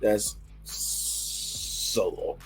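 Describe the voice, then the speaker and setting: A hoarse man giving a casual, rambling wrestling review, full of fillers and restarts. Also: extremely slow, soft background music, low-quality recording.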